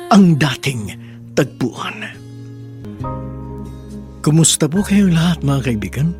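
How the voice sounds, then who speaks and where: A man's voice: a little high, a little mellow. Theatrical Filipino male radio drama host. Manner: theatrical, sentimental. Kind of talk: dramatic scripted narration. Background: music.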